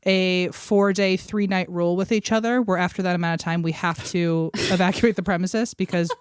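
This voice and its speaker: A woman's voice: on the low side, steady tone, fairly quick, smooth, no echo. A young adult woman, a podcast guest, telling personal stories in casual conversation. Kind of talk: casual chat, personal storytelling.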